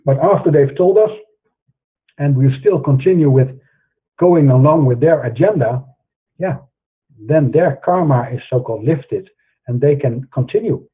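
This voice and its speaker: A man's voice: on the high side, lively intonation, measured pace, long pauses, slightly rough. A middle-aged man with a Dutch accent, hosting an online show and speaking earnestly in a preachy monologue with frequent restarts. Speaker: host. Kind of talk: monologue to camera. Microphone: webcam or online call. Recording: tinny phone-like line.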